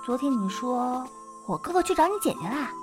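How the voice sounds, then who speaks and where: A woman acting an affectionate, eager schoolgirl in a modern audio drama, with an expressive, earnest, girlish delivery. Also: sits high, brisk, music in the background. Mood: eager, hopeful. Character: affectionate, eager schoolgirl, devoted to her best friend.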